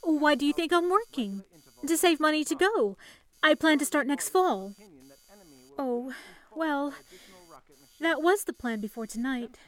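An actress playing a polite, earnest young telephone operator in an old-time radio drama, soothing and composed in manner. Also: female voice, high-pitched, lively intonation, a little slow, long pauses, a bit bright. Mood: friendly. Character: young 1930s telephone operator, calm, earnest woman saving for college.

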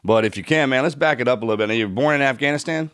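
A man's voice: mid-range voice, racing, a bit bright, clean tone. A man hosting a podcast interview in a laid-back, casual way, often saying "man". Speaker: podcast host.

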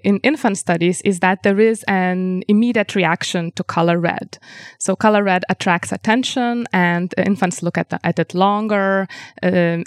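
Measured Lithuanian-born woman researcher. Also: fairly low pitch, no echo, studio quality. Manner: measured, explanatory, frequent ums. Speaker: researcher guest. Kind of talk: interview answers.

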